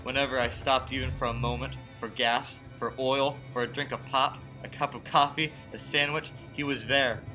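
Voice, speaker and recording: A man's voice: mid-range voice, fairly even intonation, normal speed, hardly any pauses, music in the background, close to an everyday voice, rough recording. A young man acting a frightened, haunted motorist in an old-time suspense radio play, earnest and dramatic in delivery. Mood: haunted, brooding. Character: frightened, haunted young male motorist narrating his ordeal. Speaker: student actor.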